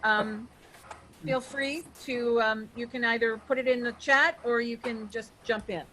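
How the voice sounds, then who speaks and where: Bright-voiced woman hosting casually, faint noise. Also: on the high side, steady tone, unhurried. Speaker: host of the table read. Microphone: video call. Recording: faint background noise on video call.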